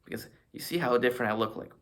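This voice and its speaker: A man's voice: mid-range voice, very fast, a bit bright. A man vlogging about fitness, talking to a camera in front of him in a rambling, self-mocking way as he shows off poses. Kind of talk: casual rambling monologue to camera.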